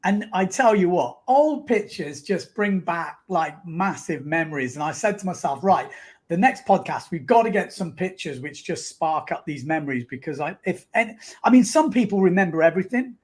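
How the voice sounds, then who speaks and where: A man's voice: high voice, expressive, moderate pace. A man in his forties co-hosting a podcast, chatty and enthusiastic, asking loose rambling questions. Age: 40s. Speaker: podcast co-host. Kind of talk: casual podcast chat.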